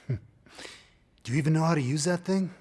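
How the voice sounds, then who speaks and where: An actor playing a cold, menacing human trafficker in an audio drama, with a husky voice. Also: male voice, a little high, a little slow, very noisy, natural voice. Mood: cold, contemptuous. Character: cold menacing male human trafficker haggling over a captive.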